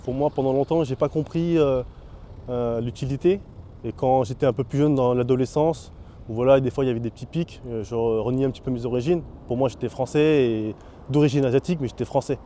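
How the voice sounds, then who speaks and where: Halting young man, very dark voice. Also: mid-range voice, a little hiss or hum. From France. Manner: hesitant, many fillers and restarts. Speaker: interviewee. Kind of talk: casual testimony.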